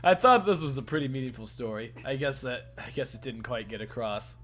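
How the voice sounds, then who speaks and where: A man's voice: mid-range voice, a little fast, a bit bright. A man hosting a let's play, reading a visual novel's narration aloud and joking profanely with friends. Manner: laughs often, casual and profane between lines.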